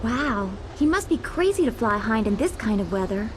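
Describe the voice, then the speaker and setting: An actress playing a sweet, perky young technology specialist in a video game, polite and playful. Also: female voice, fairly high pitch, very animated, moderate pace, background noise. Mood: amazed. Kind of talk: dramatic video game voice acting.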